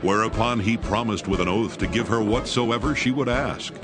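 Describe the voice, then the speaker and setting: A man narrating scripture in a measured, solemn, scripted style. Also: on the low side. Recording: music bed behind voice. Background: music.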